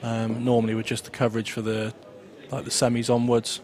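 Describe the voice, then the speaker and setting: A man chats as co-commentator on a pool livestream, his delivery flat and even in pitch. He hesitates often and fills the gaps with ums, keeping it conversational. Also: a little fast, noisy background. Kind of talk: casual live-stream commentary.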